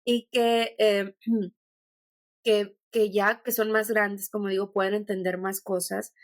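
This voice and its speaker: A woman's voice: medium pitch, flat delivery, slow pace, dry recording, studio quality. A Mexican woman with a Mexican accent, a podcast guest talking thoughtfully and meandering, with many restarts. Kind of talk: conversational podcast interview.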